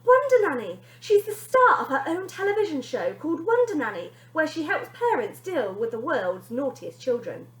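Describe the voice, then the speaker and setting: A woman's voice: high voice, big pitch swings, moderate pace, few pauses, voice pushed up. A woman voicing a polite, put-upon mother in a children's story. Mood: excited. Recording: echoey room.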